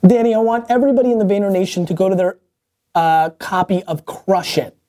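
A man's voice: high-pitched, brisk, mellow, faint music behind. A man hosting a viewer-question show, talking bluntly and emphatically with restarts and mild cursing. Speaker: host answering viewer questions. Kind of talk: energetic motivational Q&A.

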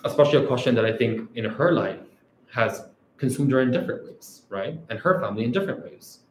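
Male academic, Canadian-raised, reflective lecture delivery, mid pitch, faint room hum. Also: lively intonation, fast talker, roomy sound, poor audio. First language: Urdu. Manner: reflective, often ends points with "right?". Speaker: academic researcher. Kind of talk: scholarly talk.

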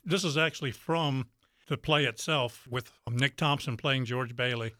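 A man's voice: medium pitch, measured pace. An American man speaking as a theatre guest in a radio studio, explaining things conversationally. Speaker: theatre player, interview guest.

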